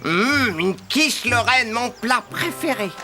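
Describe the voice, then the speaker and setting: An actor playing a mischievous young boy in a cartoon, excitable and taunting. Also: mid-range voice, slow pace, soft background music, full character voice. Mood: grumbling. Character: gleeful, mischievous young boy tormenting a beetle. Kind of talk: animated cartoon dubbing.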